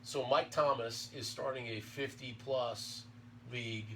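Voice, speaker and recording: A man in his fifties hosting a casual podcast interview, chatty and quick to laugh. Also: mid-range voice, fairly even intonation, a bit bright. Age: 50s. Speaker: podcast host. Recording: distant mic with faint room noise.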